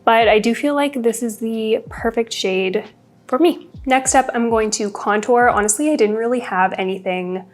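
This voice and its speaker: A woman's voice: a little high, normal speed. A young woman with a congested voice, chatting casually through a makeup routine as a beauty vlogger. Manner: chatty, upbeat, rambling product talk. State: congested from a cold. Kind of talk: casual get-ready-with-me chat.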